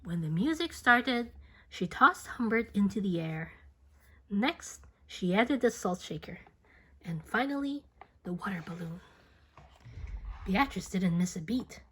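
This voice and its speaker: A woman reading a picture book aloud to children in an expressive, dramatic storytelling manner. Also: medium pitch, unhurried, pauses often, breathy edge. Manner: expressive, dramatic, with whispers and playful sound effects.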